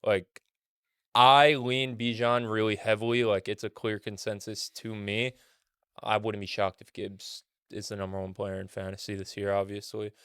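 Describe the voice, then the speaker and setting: A man's voice: on the low side, little pitch movement, moderate pace, fairly bright tone, clear, resonant tone, no room sound, studio quality. A man co-hosting a casual fantasy football chat, sniffling with a cold and speaking in an opinionated way, often saying "like" and "right". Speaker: co-host.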